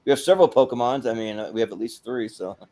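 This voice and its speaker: A man's voice: mid-range voice, quick pace, mellow. A man hosting a casual panel chat in an informal, chuckling way. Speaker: host of a movie tournament panel.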